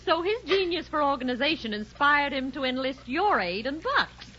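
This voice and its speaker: Casting: wry working mother, high voice, breezy delivery, muffled old radio sitcom recording. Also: very animated, unhurried, bright, forceful tone, full character voice. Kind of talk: 1940s radio comedy acting. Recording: muffled, faintly noisy 1940s radio recording.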